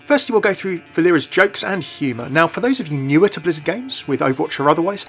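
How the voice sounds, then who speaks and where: A man delivers scripted explainer narration at a quick clip. His voice sits a little high, and he drops in chatty, wry asides over faint music. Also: fairly bright tone. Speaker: video narrator and game commentator. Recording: voice-over with light background music. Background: background music.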